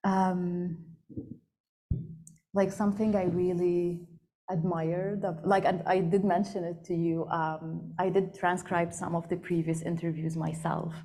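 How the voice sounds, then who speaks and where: Low, mellow-voiced woman moderating a panel. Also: flat delivery, a little slow. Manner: hesitant, with frequent ums and likes. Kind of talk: panel discussion, switching between English and Arabic. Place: large room with glass walls, seated at a panel table.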